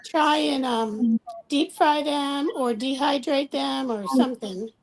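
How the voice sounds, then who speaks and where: High-voiced woman in her sixties. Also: slow, rough recording. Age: 60s. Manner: agreeable, chatty. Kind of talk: casual livestream chat.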